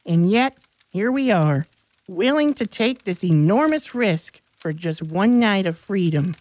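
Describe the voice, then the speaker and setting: Woman acting slow-talking, dramatic scrawny teenage boy. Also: medium pitch, lively intonation, darkish tone, no echo, close to an everyday voice. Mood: pleading. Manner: dramatic, self-pitying. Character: scrawny, pleading teenage boy football benchwarmer. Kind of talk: audiobook character acting.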